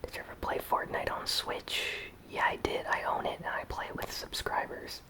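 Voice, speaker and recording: A gaming YouTuber chatting casually in a soft whisper while reading out and answering viewer comments. The speech rambles and is filled with "like". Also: moderate pace. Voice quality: whispered, breathy, unvoiced delivery. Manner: relaxed, rambling, lots of "like" fillers.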